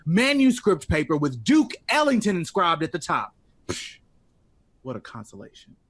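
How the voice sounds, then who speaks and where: A man's voice: on the high side, wildly swooping pitch, moderate pace, a bit bright, breathy edge, exaggerated voice. A man acting in an audio drama as a wounded, bitter 1960s jazz composer, sarcastic and theatrical in manner.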